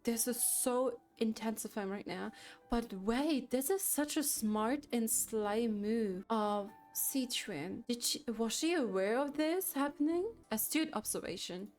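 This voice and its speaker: A woman streamer comments casually on a game as it unfolds. Her voice sits a little high, and faint music plays behind her. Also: a little slow, darkish tone. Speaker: gaming reaction streamer.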